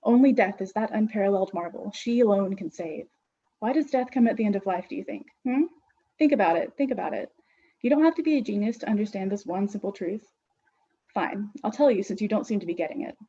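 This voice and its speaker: A woman voicing Death, an ancient female skeleton who lectures with weary scorn and grand airs, her husky voice on a dull lo-fi recording. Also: medium pitch, a little fast, mellow, natural voice. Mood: grandiloquent.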